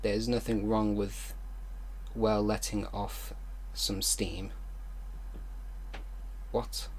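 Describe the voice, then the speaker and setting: Low-voiced man reading aloud and reacting. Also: level tone, a little hiss or hum, poor audio. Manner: halting reading, exasperated disbelieving outbursts, casual swearing. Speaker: online video host. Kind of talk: reading fan fiction aloud with exasperated reaction commentary.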